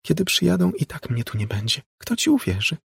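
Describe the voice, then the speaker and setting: A man's voice: on the high side, sing-song, fairly quick, creaky, husky, dry recording, clean studio sound, voice pushed up, full character voice. A man voicing an eerie, insolent little ghost girl who talks in a cool, scolding, condescending way in an audiobook story. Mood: cool, mocking.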